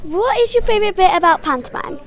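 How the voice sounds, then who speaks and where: Very high-pitched little girl interviewer. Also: expressive, quick pace. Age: child. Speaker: young interviewer. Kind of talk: simple question-and-answer interview.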